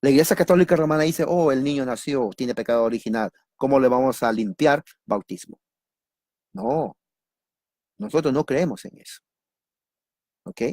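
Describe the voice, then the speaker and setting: A man from Peru with a Peruvian accent, teaching doctrine in a sermon, repeating key words and asking and answering his own questions, with a lively, joking manner. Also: medium pitch, normal speed, long pauses, no room sound, clean studio sound. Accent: Peruvian Spanish. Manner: lively, jokes with listeners. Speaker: preacher.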